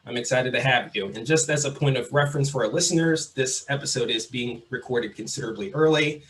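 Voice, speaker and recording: A young man from Mississippi hosting a podcast, talking in a relaxed, chatty way and repeating his phrases. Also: medium pitch, a little fast, low-quality recording. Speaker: podcast host. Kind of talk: casual interview.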